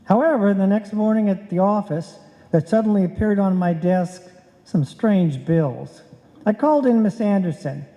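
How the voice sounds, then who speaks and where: An actor playing a wry, exasperated, penny-pinching husband in a live old-time radio comedy. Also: male voice, sits high, moderate pace, dark tone. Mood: wry narration. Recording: dull, echoey hall stage recording.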